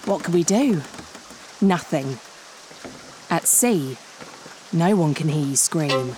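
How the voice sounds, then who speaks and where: A woman's voice: low voice, expressive, takes it slowly, very noisy. An actress playing an earnest, suspicious woman writer turned amateur detective, dramatic and insistent, in an audio comedy mystery. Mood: dramatic storytelling. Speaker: lead actor and first-person narrator.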